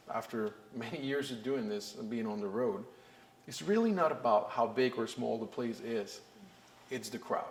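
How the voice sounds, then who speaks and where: A man's voice: medium pitch, a little hiss or hum. A young man from Costa Rica, a blues band frontman, talking earnestly and reflectively in a documentary interview. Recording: roomy echo with faint music.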